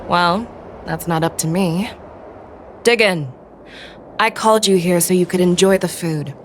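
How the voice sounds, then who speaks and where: An actress voicing a calm, commanding woman general who speaks with gentle teasing. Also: on the low side, unhurried, a little hiss or hum, close to an everyday voice. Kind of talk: game voice acting.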